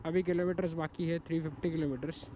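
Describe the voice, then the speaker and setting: High-voiced Indian motovlogger by the road. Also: male voice, fairly even intonation, quick pace. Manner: mixes English time checks into casual Hindi. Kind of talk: casual travel vlog narration. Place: outdoors by the road on a motorcycle trip. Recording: noisy roadside recording.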